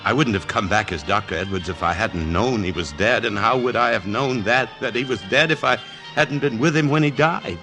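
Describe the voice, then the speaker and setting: Actor playing haunted lovestruck man, low voice, halting, brooding, 1940s radio drama, music underneath. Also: natural voice. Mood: anguished. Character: tormented amnesiac man, fugitive, guilt-ridden and lovestruck. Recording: vintage radio broadcast with orchestral music.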